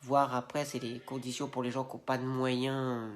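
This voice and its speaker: A man speaks to camera for his video channel, nose blocked from a cold. His talk is loose and slangy, wandering through fillers and restarts with a steady indignant edge. His pitch sits in the middle range. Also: moderate pace. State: has a head cold, stuffy nose. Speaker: Instagram video creator. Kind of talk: casual opinion rant to camera.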